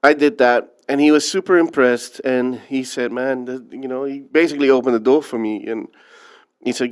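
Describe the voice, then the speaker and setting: A man from the Netherlands speaks as an interview guest, reflective and earnest as he tells his story. His voice is smooth and resonant, sitting at an ordinary middle pitch for a man. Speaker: filmmaker guest. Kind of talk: interview conversation.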